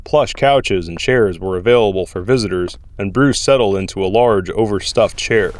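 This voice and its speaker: A man's voice: on the low side, moderate pace. A man giving scripted story narration as the narrator of an audio drama.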